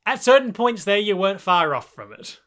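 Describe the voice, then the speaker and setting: A man's voice: sits high, sing-song, brisk, fairly bright tone. A man co-hosting a podcast in casual chat, with a dry, teasing, wry manner. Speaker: podcast co-host.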